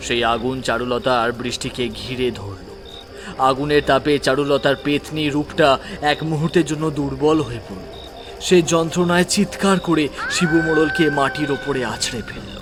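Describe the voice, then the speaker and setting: A man's voice: mid-range voice, normal speed, over music. A man narrating a horror story in a suspenseful, dramatic way. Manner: suspenseful, hushed at times.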